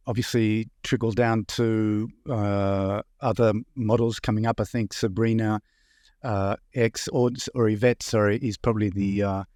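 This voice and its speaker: A man's voice: lowish voice, a little mellow, dry recording, studio quality. A man interviewing someone in a conversational style, piecing his questions together hesitantly. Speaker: interviewer, audio magazine editor.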